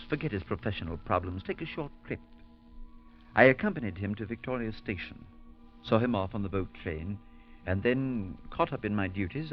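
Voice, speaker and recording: An actor playing a skeptical, level-headed priest, who also narrates in a measured way, in 1940s radio acting on a dull old broadcast. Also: male voice, on the low side. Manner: reassuring, doubting, measured narration.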